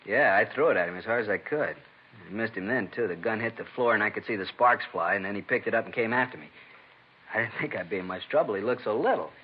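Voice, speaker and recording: An American man playing a rattled, incredulous shopkeeper and robbery victim in a 1950s radio crime drama, talkative, emphatic and halting. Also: fairly low pitch, expressive, husky. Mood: shaken, incredulous. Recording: dull, noisy vintage radio recording.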